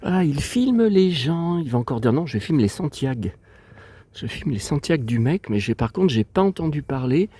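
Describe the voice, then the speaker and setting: A man's voice: on the high side, big pitch swings, moderate pace, mellow, breathy edge. A man with a stuffy, sniffly nose from a cold, rambling in a digressive, aggrieved way as he vlogs outdoors. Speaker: vlogger. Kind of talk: casual rambling vlog chat. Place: outdoors in a cemetery.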